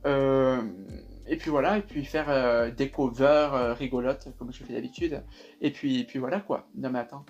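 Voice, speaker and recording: A man's voice: medium pitch, steady tone, normal speed, a little mellow, muffled recording, soft background music. A young man in his twenties with a sing-song southern French accent, chatting upbeat in a casual vlog with lots of fillers. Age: 20s. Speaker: YouTube reaction creator.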